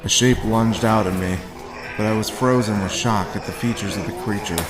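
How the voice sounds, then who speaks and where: A man playing a haunted, sardonic drifter in a dark horror audio drama, moving between first-person narration and dialogue. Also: lowish voice, steady tone, moderate pace, close to an everyday voice, low-quality recording. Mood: brooding. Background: background music.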